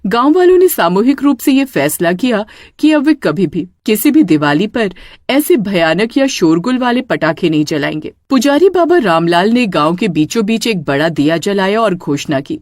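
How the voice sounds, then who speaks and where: A woman's voice: medium pitch, big pitch swings, normal speed, darkish tone, no room sound. A woman narrating a scripted dramatic story as storyteller.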